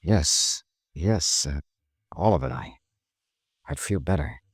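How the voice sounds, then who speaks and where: An actor playing a world-weary insurance investigator in a 1950s radio detective drama, grim and clipped with brooding pauses. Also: male voice, a bass voice, expressive, husky, no room sound, studio quality, heavily acted. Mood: shaken, wistful. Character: world-weary male insurance investigator, grimly narrating his case. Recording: vintage radio drama with music underneath.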